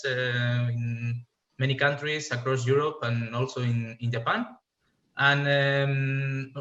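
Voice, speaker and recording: A man's voice: medium pitch, fairly bright tone, reverberant, lo-fi sound. A man from Spain with a Spanish accent, presenting as a fuel cell scientist on a panel, hesitant with many filler sounds.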